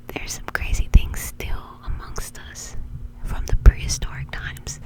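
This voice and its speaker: A woman whispering in an informal, chatty way, rambling casually as an ASMR host. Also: low-quality recording.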